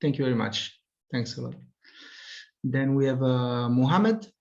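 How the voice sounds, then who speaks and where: A man runs an online meeting, calling people in turn with halting, repeated words and many polite thanks. His pitch sits in an ordinary middle range. The video-call audio is slightly muffled. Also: gravelly, darkish tone. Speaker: webinar moderator.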